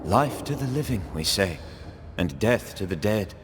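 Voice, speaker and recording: Game narrator, male ghost: very deep, unhurried, grave and regretful, theatrical delivery over noticeable noise. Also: sing-song. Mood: solemn, haunted. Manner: solemn, measured, theatrical. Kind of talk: dramatic scripted narration.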